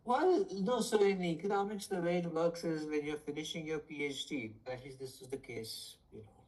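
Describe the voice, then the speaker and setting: A man in his forties, an economics professor, answering interview questions thoughtfully over a video call with frequent pauses and fillers. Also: a little high, a little mellow. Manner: thoughtful, hesitant with many fillers. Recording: distant from the mic, faint noise.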